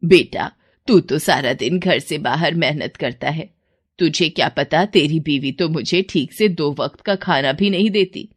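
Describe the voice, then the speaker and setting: A woman's voice: low-pitched, measured pace, breathy edge. A woman voicing a bitter, elderly mother-in-law who scolds and taunts in a story. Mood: complaining. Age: older.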